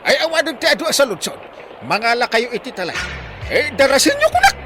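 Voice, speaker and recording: A man's voice: very high-pitched, huge pitch swings, fast talker, music in the background, exaggerated voice. A man playing a flustered, bossy village uncle in a comedy drama, speaking urgently with an Ilocano accent.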